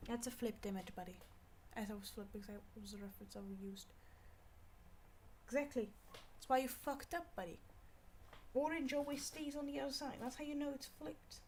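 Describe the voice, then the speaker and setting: A young woman with a Scottish accent chatting casually on an art livestream, rambling and scolding herself out loud in exasperation. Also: high-pitched, steady tone, normal speed, a little hiss or hum. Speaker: art streamer. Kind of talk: casual livestream chat while drawing.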